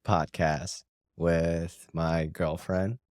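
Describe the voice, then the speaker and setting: Low-voiced man hosting a podcast. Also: flat delivery, drawn-out words, a little mellow, smooth, dry recording, studio quality. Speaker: podcast host. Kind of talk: casual podcast chat with scripted intro.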